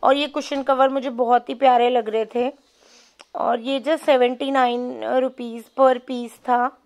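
A woman's voice: sits high, little pitch movement, slow pace. A woman giving a casual, chatty vlog voice-over, mixing in English words. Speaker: shopping vlogger.

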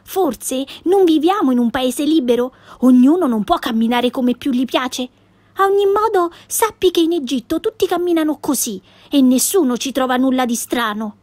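A cheerful little girl full of tall tales, put on by a woman reading a storybook. Her voice rides high and bouncy, with plenty of exclaiming. The recording is dry and close. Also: expressive, normal speed, a little mellow, no echo. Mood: boastful.

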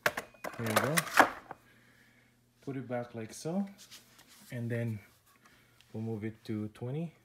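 Man, casual unboxing commentary, offhand short phrases, loud background noise, slow talk. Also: medium pitch. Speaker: product reviewer. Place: room with foam floor mats, indoors.

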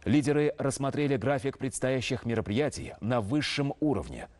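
A man in his thirties reading scripted news as a studio anchor, in a measured, official manner. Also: mid-range voice, darkish tone.

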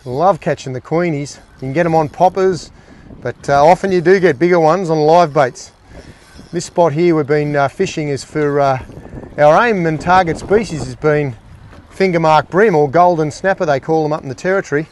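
A middle-aged man hosting a fishing show, giving excited, exclaiming commentary from a small boat. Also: a little high, moderate pace. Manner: enthusiastic, exclaiming, talks to the fish and his mate.

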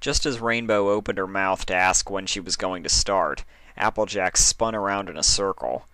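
A man narrates fan fiction as a scripted story. His voice sits on the low side with a bright edge. The sound is dry and close. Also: moderate pace, no echo.

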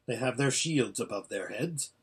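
A man's voice: mid-range voice, animated, moderate pace, a little hiss or hum, natural voice. A man voicing an impatient old hermit seer in a storybook, giving an anxious, exclamatory running report. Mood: watchful, grave. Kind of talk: storybook character voice.

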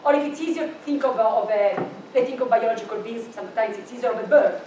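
A woman's voice: on the high side, quick pace, a little mellow, a little hiss or hum. A middle-aged woman giving a conference keynote lecture, thinking aloud with restarts, recorded from the audience in a large university room. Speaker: keynote speaker. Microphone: far camera in the audience. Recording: echoey room from far off.

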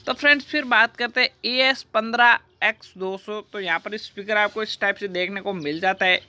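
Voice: mid-range voice, pushed and bright, no echo. A narrator giving a scripted explainer in a repetitive, formulaic listing manner. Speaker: product review narrator.

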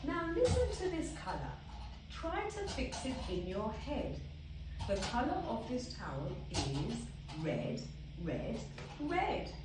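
A woman's voice: fairly high pitch, huge pitch swings, slow, heavily acted. A woman voicing a patient, playfully firm mother bear in a storybook, coaxing in sing-song fashion in an echoey room. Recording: echoey room, distant mic, background noise.

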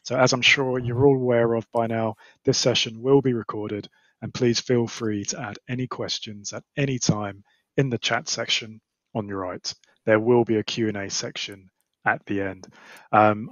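An upbeat middle-aged man hosts an online chat through a headset. The sound is very dry and slightly dull, like lo-fi call audio, and his voice sits at a middle pitch. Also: no room sound. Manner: upbeat, welcoming. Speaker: host. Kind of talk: moderating a fireside chat. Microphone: headset on video call.

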